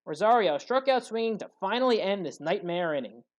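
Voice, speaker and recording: A man narrating scripted play-by-play sports highlights as a commentator, with dramatic emphasis. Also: expressive, brisk.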